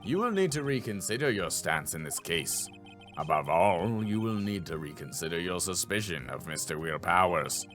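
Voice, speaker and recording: A man voicing a stern, formal old trial judge from a video game, slow-witted and ponderous. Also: deep voice, very animated, fairly bright tone, soft background music, pitched below the performer's own voice.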